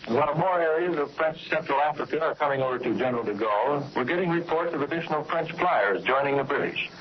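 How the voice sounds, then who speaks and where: A man's voice: on the high side, animated, fast talker. Muffled old radio, male war correspondent. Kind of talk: 1940s radio news report, scripted. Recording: muffled, noisy 1940s shortwave radio broadcast.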